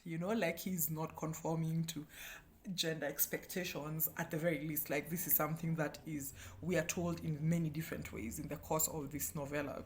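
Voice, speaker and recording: Candid Kenyan woman, lower-pitched voice. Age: 30s. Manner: animated, candid, self-deprecating, with playful asides. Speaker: book reviewer and host. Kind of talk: casual chatty book review.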